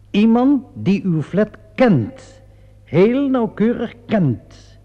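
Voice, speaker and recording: Een acteur speelt in een hoorspel een sluwe, vasthoudende inspecteur die nadrukkelijk en schijnbaar beleefd ondervraagt. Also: male voice, on the high side, husky, exaggerated voice. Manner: insinuating, theatrically deliberate, mock-polite questioning. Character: shrewd, relentless male police inspector cornering a suspect. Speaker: actor playing a police inspector. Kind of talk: radio play acting. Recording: dull, muffled vintage radio play recording.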